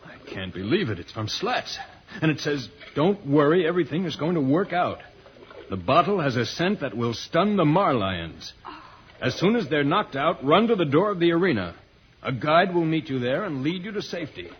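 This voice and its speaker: An earnest space hero in a vintage radio adventure. His voice sits a little high for a man, with a declamatory, urgent delivery. The old recording is dull and noisy. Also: very animated, moderate pace. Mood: grave concern. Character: earnest, heroic alien spaceman, puzzled by Earth slang. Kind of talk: old-time radio serial acting.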